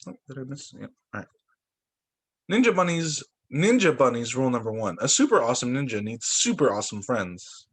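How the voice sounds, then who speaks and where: Man teacher reading aloud, lively voice. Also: mid-range voice, fairly quick, breathy edge. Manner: reads aloud with asides and exclamations. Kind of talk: reading picture books aloud to children. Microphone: computer mic on a video call.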